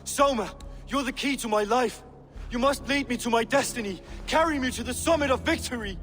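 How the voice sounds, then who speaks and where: A deluded, fervent warrior in a game cutscene, pitched extremely high. He pleads grandly about fate, his voice ringing in long reverb over faint music. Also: brisk, fairly bright tone. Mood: desperate, fervent. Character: fervent, deluded male warrior obsessed with his own destiny. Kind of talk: dramatic game voice acting.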